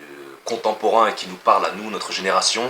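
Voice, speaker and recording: A man's voice: low-pitched, fast, bright, forceful tone, slight background noise. A man in his twenties, an acting student, enthusiastically telling how he joined a theatre project. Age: 20s.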